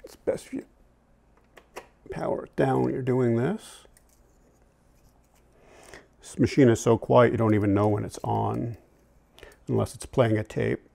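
A man in his sixties informally explaining and demonstrating gear, in a conversational, halting way that often backtracks. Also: medium pitch, creaky, warm tone. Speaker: audio-visual technician and product reviewer presenting vintage tape gear. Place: indoor room at home, seated at a table.

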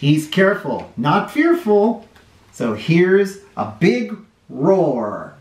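A middle-aged man hosting a children's read-aloud, reading a storybook expressively with drawn-out phrasing. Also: high voice, huge pitch swings, a little mellow. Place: small bright living room or playroom, indoors. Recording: roomy indoor echo.

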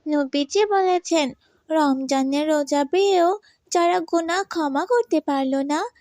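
Small girl, very high voice, slow delivery, earnest, pious, gently preachy, cartoon. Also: no echo, studio quality. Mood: earnest, devout. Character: pious, gentle, wise poor little girl Hafsa. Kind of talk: moral cartoon voice acting.

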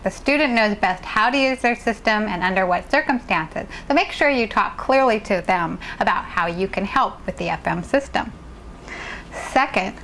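A woman professor walks through an instructional demonstration in a clear, measured manner. Her voice sits at a middle pitch and is picked up by a lapel mic. A faint hiss of noise runs underneath. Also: fairly bright tone. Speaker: assistant professor. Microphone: worn lapel microphone.

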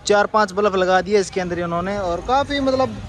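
A man's voice: high-pitched, a little fast. A young man from India, walking through an outdoor street market and chatting to the camera as a travel vlogger. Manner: chatty, pointing things out, repeats "theek hai" and "dekho bhai". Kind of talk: walking market tour vlog. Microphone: handheld camera mic. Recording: noisy street with traffic.

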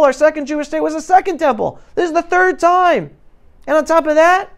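A middle-aged man giving an impassioned, animated motivational talk to young people, with emphatic delivery. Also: very high voice. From New York City, United States. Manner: emphatic, animated, swinging between loud and hushed. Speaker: guest speaker addressing high school students. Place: classroom, indoors. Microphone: lapel mic.

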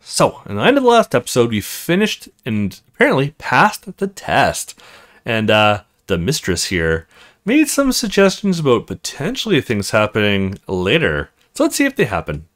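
A man's voice: medium pitch, wildly swooping pitch, normal speed, fairly bright tone. A man hosting a let's play, commenting on a game and reading its dialogue aloud expressively. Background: music.

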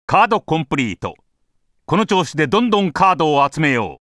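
An actor playing an upbeat heroic mentor who gives energetic, encouraging announcements in a video game. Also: male voice, on the high side, fast, no room sound, studio quality. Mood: upbeat, proud. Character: upbeat heroic mentor praising a young card collector.

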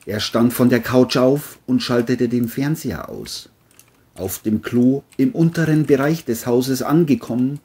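A man's voice: medium pitch, dark tone, slightly rough. A man narrating a scripted crime audiobook in a matter-of-fact storytelling manner.

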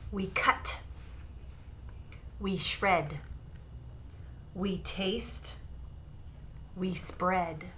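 A middle-aged woman reading a children's picture book aloud in short, deliberate phrases with pauses between them. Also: fairly low pitch, steady tone, bright, forceful tone. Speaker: storybook reader. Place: small room at home beside an open window. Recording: noisy room, home webcam recording. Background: clear background noise, room ambience.

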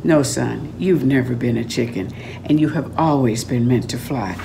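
A woman's voice: very deep voice, moderate pace, creaky, background noise, voice pushed down. An older woman voicing a loving mother hen, firm and encouraging, in a storybook read-aloud. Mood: tender, firm. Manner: encouraging, emphatic.

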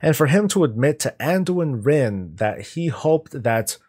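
A man's voice: medium pitch, sing-song, measured pace, darkish tone. Man giving emphatic commentary. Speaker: reaction video host. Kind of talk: off-the-cuff analysis commentary.

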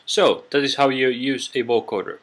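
A man narrating an informal software tutorial in a halting, step-by-step way, recorded on a built-in computer microphone. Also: medium pitch. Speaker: tutorial presenter.

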